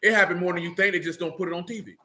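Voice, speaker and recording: A man's voice: high-pitched, fairly even intonation, very fast, pushed and bright. A man with speech teaching a religious group informally, emphatic and streetwise, often checking in with his listeners.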